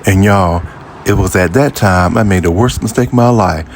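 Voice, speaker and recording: A man in his fifties with a low voice and a Southern American accent. He talks in a folksy, conversational way, as if chatting to his viewers while telling a personal story. He is outdoors, with noticeable ambient noise and cars behind him. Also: moderate pace. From Jacksonville, United States. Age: 50s. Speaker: vlogger. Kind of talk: casual personal storytelling to camera. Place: outdoors by an old building near a highway.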